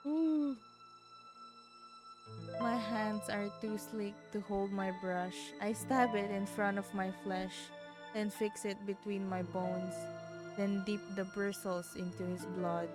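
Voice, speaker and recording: Woman, Filipino accent, game streamer, unhurried read-aloud pace, music clearly behind. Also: medium pitch, steady tone. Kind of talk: livestream game playthrough, reading text aloud.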